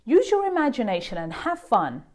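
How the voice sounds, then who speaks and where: A woman voicing a composed female schoolteacher from a picture book, giving instructions in a measured way. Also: fairly high pitch, wildly swooping pitch, pitched above the performer's own voice. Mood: encouraging.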